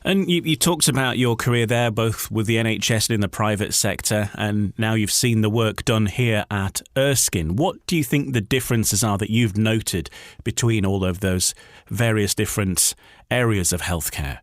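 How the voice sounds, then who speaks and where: A man's voice: fairly low pitch, normal speed, dry recording, clean studio sound. A man hosting a conversational radio interview, politely leading into his questions at some length.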